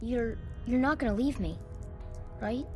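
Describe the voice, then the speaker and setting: A woman's voice: on the high side, measured pace, close to an everyday voice. A woman playing an earnest, anxious young boy in a game, childlike and pleading. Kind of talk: game cutscene acting. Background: music.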